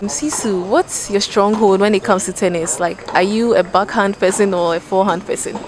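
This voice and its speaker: A young woman interviewing tennis players courtside outdoors, asking questions in a bright, chatty way. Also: medium pitch, moderate pace. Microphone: handheld mic. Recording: crowd and traffic noise behind.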